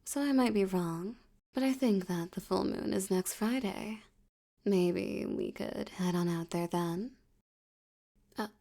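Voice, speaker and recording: Woman acting flirty bossy mafia queen, slow creaky mellow voice, teasing asmr roleplay. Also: mid-range voice. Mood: flirtatious, then teasing, coy. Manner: teasing, seductive, condescending, drawn-out delivery. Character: flirtatious, domineering female mafia boss toying with a detective.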